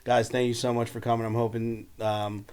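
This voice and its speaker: A man hosting a podcast, upbeat and a bit halting, with ums between phrases. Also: medium pitch, little pitch movement, a little mellow, clear, resonant tone. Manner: enthusiastic, halting, with fillers. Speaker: podcast host. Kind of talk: casual interview chat.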